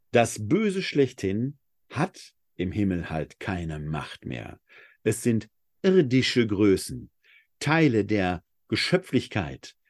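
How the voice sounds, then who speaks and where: A man from Essen giving a Bible lecture, reading scripture slowly and with weight before explaining it reflectively. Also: mid-range voice, a little mellow, dry recording, clean studio sound. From Germany (Essen). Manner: reads scripture passages slowly and with emphasis, then explains in a reflective tone. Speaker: Bible teacher.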